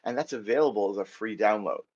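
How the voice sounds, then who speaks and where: A man's voice: lowish voice, quick pace, darkish tone, lo-fi sound. A middle-aged man with an American accent, giving a chatty live coding lecture and thinking aloud as he types. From United States. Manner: chatty, self-deprecating, thinks aloud while typing. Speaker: programming instructor.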